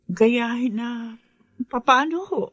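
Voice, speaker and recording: Hard-up widowed mother, high voice, emotive, earnest, radio drama, close dry sound. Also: slow pace, fairly bright tone, no room sound, lo-fi sound. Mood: weary, respectful. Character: struggling, self-sacrificing widowed mother of five, market vendor. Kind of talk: Filipino radio drama acting.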